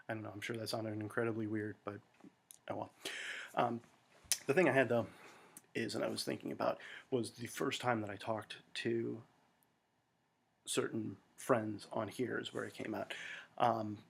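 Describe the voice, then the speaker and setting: Gravelly, husky American man rambling. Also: lowish voice, level tone, a little slow, pauses often. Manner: rambling, self-deprecating, with many fillers. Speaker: vlogger. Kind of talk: rambling casual monologue.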